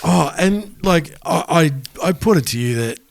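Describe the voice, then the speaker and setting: A man's voice: on the high side, animated, measured pace, creaky, dry recording. A man with an Australian accent chatting casually and colloquially as a music podcast co-host. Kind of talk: casual chat.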